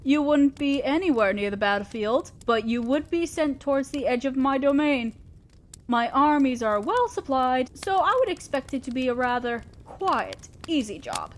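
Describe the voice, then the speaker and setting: A woman's voice: sits high, lively intonation, normal speed. A woman playing a fair-minded but ambitious demon lord boss in a scripted audio drama, speaking formally and directly to an underling, with a sly teasing streak. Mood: persuasive, reassuring.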